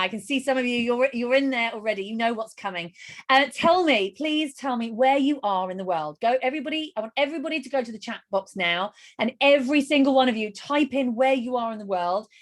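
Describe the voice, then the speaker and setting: A middle-aged woman hosting a live webinar over a video call, enthusiastic and gushing as she rattles off quick lists. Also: mid-range voice. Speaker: webinar host.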